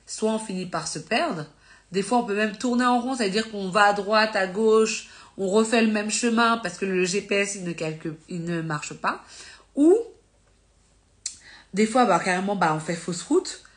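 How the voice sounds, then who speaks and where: A woman's voice: medium pitch, normal speed. A woman with a slight cold, teaching about faith on a live stream in an informal, conversational way, full of fillers and self-corrections. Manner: earnest and encouraging.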